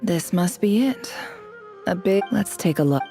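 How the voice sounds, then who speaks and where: A disillusioned young woman, a student in a video game. Her voice sits fairly low with a noticeable creak, relaxed and dark in tone. She muses wryly, with faint music behind her. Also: unhurried. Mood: curious, musing. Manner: musing aloud, wry, reading documents out. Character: disillusioned young female academy student from the desert, cynical but curious. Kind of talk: video game dialogue acting.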